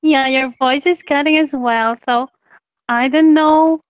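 A shy woman from Indonesia joins a video call on her mobile phone. Her voice is high and light, and she speaks hesitantly, with a giggle never far away. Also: steady tone, unhurried, no room sound, narrow, tinny audio. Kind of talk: casual video call chat.

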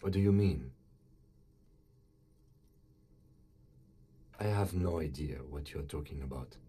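An actor playing a composed police lieutenant in a detective game, husky and restrained, with a dry, matter-of-fact manner. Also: male voice, extremely low pitch, brisk, warm tone, full character voice. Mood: puzzled. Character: composed, dry male police lieutenant, bookish, quietly authoritative.

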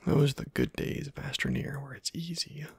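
A man giving casual, halting ASMR game commentary in a soft, breathy, whispery voice, murmuring as if to himself. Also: medium pitch, fairly even intonation. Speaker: gaming video host.

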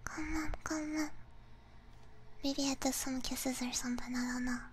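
A woman whispering breathily into a close binaural microphone, chatting casually and haltingly with viewers in slangy, self-deprecating ASMR style. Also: high voice, steady tone, slight background noise. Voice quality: breathy, mostly unvoiced whisper. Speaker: ASMR livestreamer.

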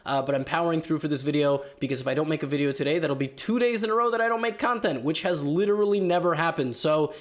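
A young man with a slightly hoarse voice from being sick, giving animated, joking commentary on chess games in a small room. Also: a little high, fast talker, hardly any pauses. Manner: animated, joking, hesitant fillers. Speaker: chess video host.

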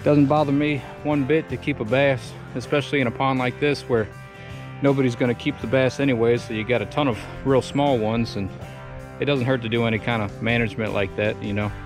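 A man's voice: medium pitch, a little fast, over music. A man, chatting casually through a fishing vlog outdoors on a chest-mounted action camera. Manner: relaxed drawl, chatty, says y'all. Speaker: fishing vlogger. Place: outdoors by a pond and river bank.

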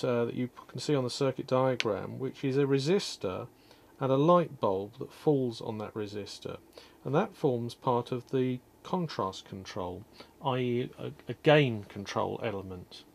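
A man calmly explaining an electronics repair at his workshop bench, step by step, with frequent ums and uhs. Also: mid-range voice, moderate pace, vocal fry, slight background noise. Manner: calm, methodical, many ums and uhs. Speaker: electronics restorer. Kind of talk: technical repair walkthrough.

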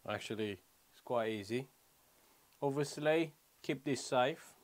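Man, thirties, medium pitch, unhurried slow pace, casual vlogger, step-by-step explaining, short phrases. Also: a little hiss or hum. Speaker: motorcycle vlogger. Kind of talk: casual hands-on product walkthrough. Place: small wooden shed or garage room.

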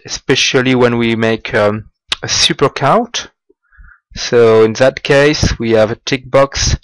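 A man giving a slow, halting walkthrough of business software over a screen recording, with small grammar slips. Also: medium pitch, no echo, poor audio. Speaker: software demonstrator.

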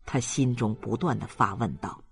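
A man's voice: mid-range voice, normal speed, creaky. A man narrating a literary novel as a scripted audiobook reading.

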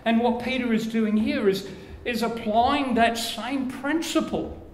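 An older man with an Australian accent preaching a sermon, slow and measured, his phrases falling away at the ends. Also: extremely high pitch. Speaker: pastor. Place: church room with curtains. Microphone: lapel mic. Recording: echoey church room, faint background hiss.

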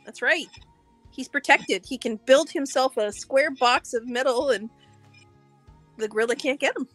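A woman's voice: high-pitched, fairly bright tone, no room sound, soft background music. A middle-aged Canadian woman, a guest business owner, playfully arguing her side in a silly game over a video call. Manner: playful, slow and deliberate. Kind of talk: casual game chat. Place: living room at home.

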